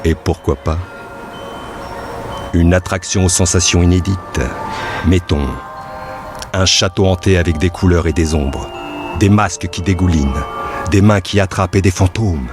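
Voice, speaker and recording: An actor playing a musing fairground man in an audio drama, thinking aloud in halting, poetic phrases. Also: low voice, natural voice. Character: brooding, musing fairground man dreaming up rides. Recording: music under the voice. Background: music.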